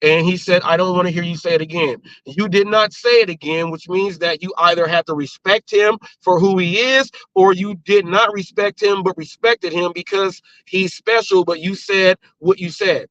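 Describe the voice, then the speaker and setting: A man's voice: sits high, steady tone, moderate pace, hardly any pauses, a bit bright, no room sound. A man hosting a casual live talk show, speaking in an emphatic, colloquial way. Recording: dull, lo-fi stream audio.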